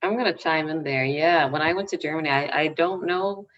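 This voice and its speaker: A woman's voice: low-pitched, fairly quick. A woman speaking casually on a panel, chatty and laughing often, with lots of filler words. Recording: dull sound, mic far off.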